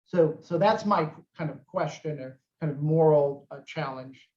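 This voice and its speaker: A man's voice: on the high side, unhurried, a little mellow, reverberant, highs cut off. A man on an academic panel making an earnest argument, with frequent restarts. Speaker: historian on panel.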